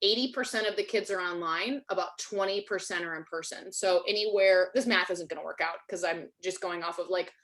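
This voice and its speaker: A woman's voice: lowish voice, fairly quick, hardly any pauses, fairly bright tone. A woman, hosting a casual video-call webinar, chatty and self-deprecating, with lots of likes. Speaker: webinar host, Spanish teacher.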